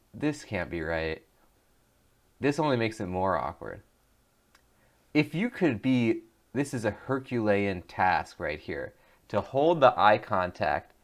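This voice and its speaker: A man hosting a let's play, reading the choices aloud and joking about them with frequent laughs. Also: on the low side. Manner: jokey, reads options aloud, laughs often.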